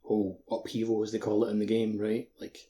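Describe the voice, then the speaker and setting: Dark, flat-toned man chatting. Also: on the low side, brisk. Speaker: podcast host. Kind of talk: casual video game discussion.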